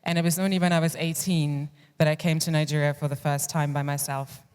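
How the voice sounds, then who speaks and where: A woman's voice: sits low, flat delivery, fairly quick, a little mellow, smooth. German-born woman speaking in a reverberant hall. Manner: candid, reflective storytelling with frequent restarts. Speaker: clinical psychologist speaking at a conference. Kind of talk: personal conference talk. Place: conference hall. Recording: long reverb of a large hall.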